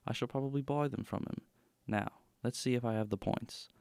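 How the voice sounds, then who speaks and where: Man acting a creaky, dry-witted gentleman detective. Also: medium pitch, steady tone, fairly quick, no echo, natural voice. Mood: shrewd. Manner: dry, clipped, wry. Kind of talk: radio drama acting.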